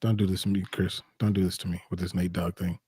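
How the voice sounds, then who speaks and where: A man co-hosting a casual live sports chat, talking in an informal, easygoing and halting way. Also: deep voice, little pitch movement, dark tone, breathy edge, no echo, studio quality. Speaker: live stream co-host.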